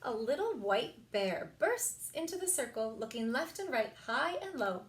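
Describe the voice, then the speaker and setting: A woman reads a children's storybook aloud in an echoey room. Her voice sits slightly high and she takes her time, narrating expressively as a story time reader. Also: big pitch swings, measured pace.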